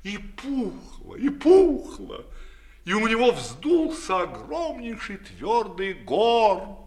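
Animated, high-pitched man telling a fairy tale. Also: takes it slowly. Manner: expressive, dramatic delivery. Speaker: storyteller. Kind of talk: theatrical children's fairy tale narration.

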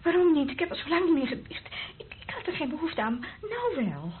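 A woman's voice: high-pitched, big pitch swings, a little fast. An actress playing a vulnerable, guilt-ridden woman who secretly loves a priest, intimate and hesitant, in a Dutch radio drama. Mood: anxious, confessing. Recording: dull, lo-fi old radio recording, faint noise.

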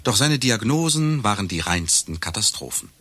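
An actor playing a learned lecturer, delivering an ornate, mock-solemn satirical monologue. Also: male voice, fairly low pitch, sing-song, moderate pace, fairly bright tone, dry recording. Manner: pompous, ornate, mock-serious.

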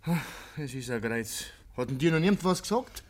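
An actor playing a reluctant, apologetic village neighbour with a Bavarian accent in a radio drama, hesitant and conciliatory. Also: male voice, mid-range voice, lively intonation, normal speed, natural voice. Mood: uneasy. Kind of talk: German radio drama acting in dialect.

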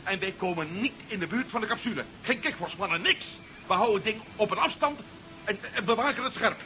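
An actor playing a reasoning, argumentative adviser on a space mission in a 1970s science-fiction radio play. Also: male voice, sits high, lively intonation, fairly quick, bright, forceful tone, a little hiss or hum. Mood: persuasive. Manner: argumentative, explanatory. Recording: dull 1970s radio recording.

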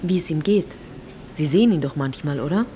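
An actress playing a wry, probing narrator and investigator in a radio drama, speaking in a dry, insistent way. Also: female voice, deep voice, animated, brisk, mellow, slight background noise.

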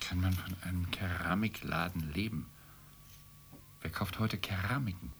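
Radio play husband: grumbling middle-class journalist, very low-pitched and terse, faint noise behind. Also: male voice, moderate pace, fairly bright tone. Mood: regretful. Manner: terse, morose. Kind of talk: radio play dialogue acting.

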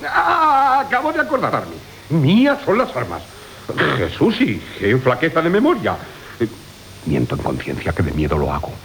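An actor playing a gullible, cowardly husband in a classical comic radio play, blustering and hesitant. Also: male voice, fairly high pitch, huge pitch swings, moderate pace, a bit bright, exaggerated voice. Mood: meek backing down. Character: gullible cowardly cuckolded husband, blustering then quickly giving in. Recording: hissy old radio recording.

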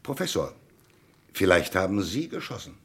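A low, animated male voice plays a shrewd commissioner in a German radio crime play. He is husky and insistent, laying out each point methodically and pressing for answers with theatrical emphasis. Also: moderate pace, heavily acted. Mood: insistent, deductive. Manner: methodical, probing, declamatory interrogation.

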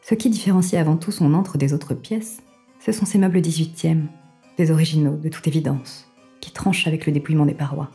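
Casting: actress as detached female narrator for dark literary audio fiction; low-pitched, dusky tone, formal and dryly ironic. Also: steady tone, a little fast, faint music behind. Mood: wry, detached.

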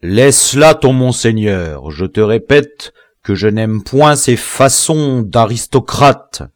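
A man's voice: on the low side, very animated, takes it slowly, no room sound, studio quality, full character voice. A man voicing an imperious rebel general in an audiobook, haughty and severe, cruelly toying with his captives. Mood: angry. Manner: haughty, severe, impatient, emphatic.

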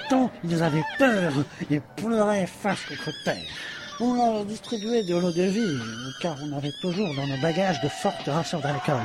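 A man's voice: high voice, noisy background. A man playing a smug, casually cruel colonial explorer in a theatrical, satirical audio drama, declaiming pompously. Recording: music behind the voice. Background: music.

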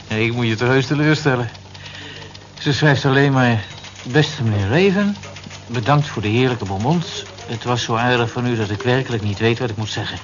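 An actor playing a mild-mannered, amused office worker in a Dutch radio drama, dry and understated. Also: male voice, mid-range voice, moderate pace, long pauses, natural voice. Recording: dull lo-fi old radio recording, clear noise.

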